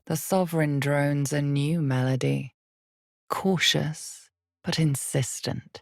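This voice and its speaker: An ominous, knowing game narrator with a very low voice full of gravelly fry. She tells a dark fantasy tale to the listener slowly and deliberately. The recording is close and dry. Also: no echo, full character voice. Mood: ominous, measured. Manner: measured, deliberate, portentous delivery. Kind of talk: dramatic scripted second-person fantasy narration.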